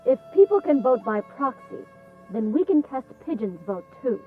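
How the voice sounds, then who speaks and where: A woman's voice: sits high, big pitch swings, moderate pace, recorded from a distance, dull audio, faint music behind. A woman acting a sardonic, haughty sect elder in a video game. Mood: mocking.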